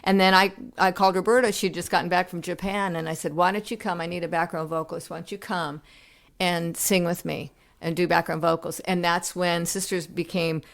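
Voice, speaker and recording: Lowish gravelly american woman, middle-aged musician chatting in an interview, lots of ums and restarts. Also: steady tone, a little fast. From United States. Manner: reflective storytelling with many restarts and fillers. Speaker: musician guest. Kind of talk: casual interview conversation.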